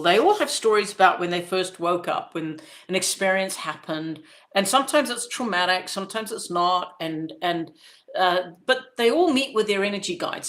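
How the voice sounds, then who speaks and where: A woman's voice: mid-range voice, fairly bright tone. A woman in her fifties from Zimbabwe, hosting a podcast in a casual, rambling monologue full of fillers and restarts. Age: 50s. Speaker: podcast host.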